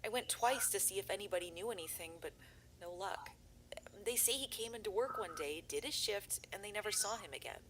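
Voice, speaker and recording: A woman's voice: medium pitch, fairly quick, noisy background, natural voice. A woman playing a worried young videographer searching for her missing brother in an analog horror audio drama, talking in an earnest, rambling way.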